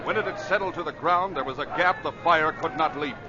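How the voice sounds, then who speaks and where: A man's voice: a little high, fairly quick. A man with a North American accent announcing and narrating in an emphatic, theatrical old-time radio style. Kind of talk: dramatic 1940s-50s radio narration and sponsor reads. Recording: muffled old radio with faint noise.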